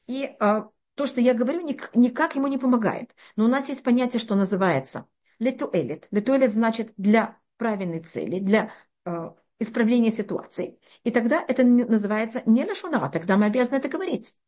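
A middle-aged woman teaching a religious text class, explaining with lively rhetorical questions and frequent restarts. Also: a little high, brisk. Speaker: Torah teacher.

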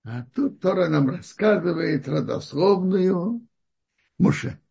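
Very husky gravelly male Torah teacher. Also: high-pitched, animated, warm tone. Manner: halting, searches for words with frequent restarts. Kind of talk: religious lesson.

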